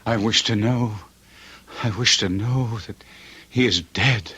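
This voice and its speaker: An actor playing a tormented young gentleman in an old-time radio drama, with intense, theatrical and formal archaic delivery. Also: on the low side, slow, breathy edge, heavily acted. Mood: grave. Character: Guido, tormented young gentleman recounting a duel with a monster.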